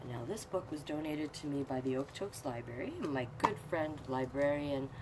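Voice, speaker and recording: A woman's voice: deep voice, expressive, moderate pace. A middle-aged woman hosting a children's storytime, reading aloud and chatting through the pictures for young viewers. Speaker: online storytime host and reader. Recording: clear background noise.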